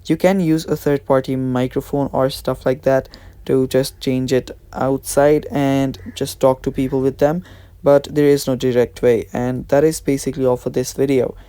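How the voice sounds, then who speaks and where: A man's voice: medium pitch, little pitch movement, moderate pace, warm tone, clear, resonant tone, no room sound. A man hosting a how-to video, narrating in a casual, informal way. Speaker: tutorial host.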